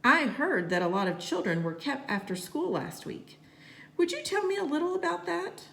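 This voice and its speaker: A woman's voice: sits high, big pitch swings, normal speed, creaky, fairly bright tone, voice pushed up, heavily acted. A woman voicing a polite, inquisitive female newspaper reporter who asks probing questions in a children's storybook. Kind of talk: acted dialogue in a children's read-aloud.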